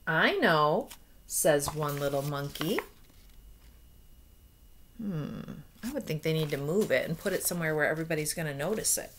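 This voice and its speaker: A woman's voice: deep voice, moderate pace, pauses often, a little hiss or hum. An American woman in her forties reading a children's picture book aloud expressively, with asides and questions to young listeners. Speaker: read-aloud storyteller. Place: small room at home.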